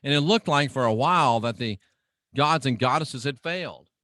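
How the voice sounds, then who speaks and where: A man's voice: medium pitch, lively intonation, moderate pace, sharp tone, no echo. A middle-aged man preaching on the radio in a dramatic, emphatic teaching style, repeating phrases for weight. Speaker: radio preacher and show host.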